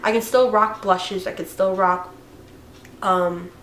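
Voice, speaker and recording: Young female vlogger, hoarse with a cold, chatty and rambling; echoey room, faint noise. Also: mid-range voice, steady tone, slow, fairly bright tone. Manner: chatty, rambling, with fillers and self-corrections. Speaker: beauty vlogger. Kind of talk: casual makeup tutorial chat.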